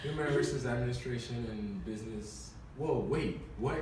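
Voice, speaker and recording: A young man from Nigeria in a casual group chat, animated and playful. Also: medium pitch, normal speed, noisy background. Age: young adult. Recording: very echoey room, distant mic, crowd chatter.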